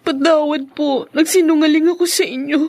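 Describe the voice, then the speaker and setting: A woman's voice: high voice, steady tone, normal speed, darkish tone. A woman playing a meek, tearful young housemaid who pleads in a melodramatic radio drama. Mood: sad. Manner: pleading, plaintive.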